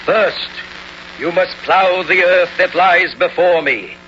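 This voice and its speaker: An actor playing a crafty, haughty ancient king in a radio drama, pompous, measured and sly. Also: sits high, sharp tone, heavily acted. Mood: mock-kindly cunning. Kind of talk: 1970s radio drama acting. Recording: dull old radio recording, faint hum.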